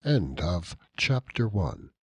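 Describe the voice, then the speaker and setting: A man's voice: low-pitched, big pitch swings, slow pace, gravelly, darkish tone, slightly rough. A man narrating a classic novel as an audiobook, in a dramatic literary reading style.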